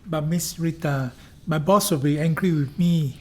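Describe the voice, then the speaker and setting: An actor with a Singaporean accent playing a timid, earnest young beer delivery coolie in a radio play, pleading in hesitant broken English. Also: male voice, on the high side, a little slow, dark tone, natural voice. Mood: shy, earnest.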